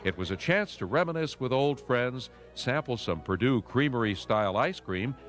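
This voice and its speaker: Male newsreel narrator, American, mid-range voice, scripted read with music clearly behind. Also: mid-range voice, expressive, normal speed.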